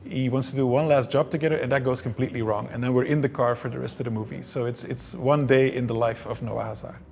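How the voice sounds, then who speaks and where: A man's voice: medium pitch, fairly even intonation, fast, gravelly, darkish tone, slight background noise. A man from Belgium whose first language is Dutch, answering interview questions in a hesitant way with many restarts. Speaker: film director.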